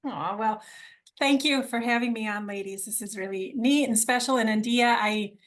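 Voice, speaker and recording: A woman's voice: on the high side, moderate pace. A middle-aged woman originally from Argentina, telling her story as a podcast guest in an enthusiastic, conversational way, often repeating words as she restarts.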